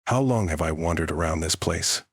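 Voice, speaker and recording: Audiobook narrator, man with a deep, rough-edged voice, reading scripted fantasy fiction. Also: a little fast, dry recording, studio quality.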